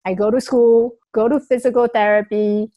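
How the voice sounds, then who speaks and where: Woman, raised in Taiwan, earnest reflective storytelling, higher voice, small grammar slips. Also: fairly even intonation, normal speed, mellow, lo-fi sound. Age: adult. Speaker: speaker sharing her life story. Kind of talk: personal storytelling talk.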